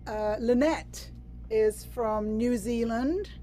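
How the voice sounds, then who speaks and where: A woman's voice: fairly high pitch, slow pace, warm tone. A woman from England hosting a video-call workshop, bubbly and chatty, with many fillers and restarts. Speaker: workshop host.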